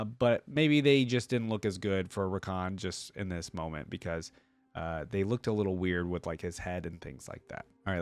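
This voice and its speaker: A man's voice: fairly low pitch, no echo. A man giving casual reaction commentary as a video creator, musing aloud informally and sometimes reading text out.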